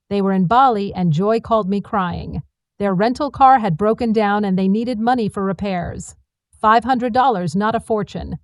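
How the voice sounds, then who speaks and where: A woman's voice: medium pitch, dry recording. Woman narrating a dramatic story, dry sound. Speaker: narrator of a first-person family drama story.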